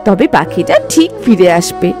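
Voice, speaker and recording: A woman voicing a gentle, consoling village mother in a cartoon moral story, soothing and earnest. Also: fairly low pitch, sing-song, unhurried, music in the background.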